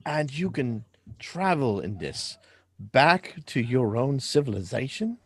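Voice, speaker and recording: A man's voice: medium pitch, very animated, slow pace, breathy edge, heavily acted. A man playing a curious, naive young man in a radio drama reading, hesitant and full of questions. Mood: amazed. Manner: wide-eyed, hesitant, questioning.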